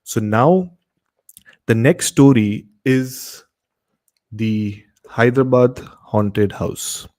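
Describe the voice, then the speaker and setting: A man's voice: mid-range voice, drawn-out words, a little mellow. A man with an Indian accent casually hosting a horror story show, speaking to listeners with repetitive, emphatic phrasing.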